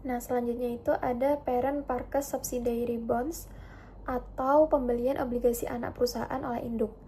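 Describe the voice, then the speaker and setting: High, soft-toned young woman. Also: steady tone, moderate pace, slight background noise. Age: young adult. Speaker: university student presenter. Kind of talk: academic presentation.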